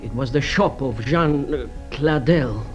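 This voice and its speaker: An actor playing an indignant, scheming foreigner in a radio drama, stiff, self-righteous and defensive, on a dull recording. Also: male voice, medium pitch, a little slow, soft background music, close to an everyday voice. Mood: insistent accusation. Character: indignant, scheming foreign male accuser and blackmailer.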